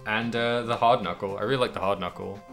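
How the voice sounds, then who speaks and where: Low-pitched man, bright voice, chatting casually. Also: steady tone, fast, gravelly, soft background music. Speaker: podcast co-host. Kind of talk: casual chat about a video game.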